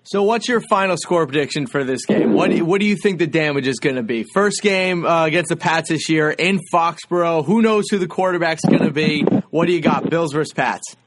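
A man's voice: fairly high pitch, fairly quick, hardly any pauses, a little hiss or hum, rough recording. A man hosting a sports podcast, interviewing guests in an informal, conversational way with plenty of fillers.

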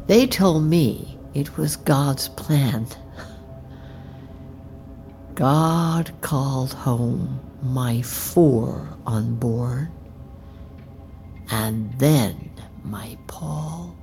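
Bereaved mother, audio drama: bitter and unforgiving, very low register, unhurried, deliberate delivery. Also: full character voice. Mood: grieving, hushed. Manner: slow, deliberate, accusing, often hushed. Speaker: actor in a fiction podcast. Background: faint music.

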